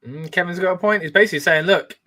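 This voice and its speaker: A man hosting a live watch-along, chatting excitedly and reacting as he reads out viewer comments. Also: high-pitched, fast. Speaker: wrestling watch-along host.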